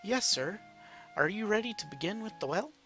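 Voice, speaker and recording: A man's voice: high voice, expressive, normal speed, sharp tone, faint music behind, pitched above the performer's own voice. A man voicing a polite, formal and deferential female assistant in a read-aloud story.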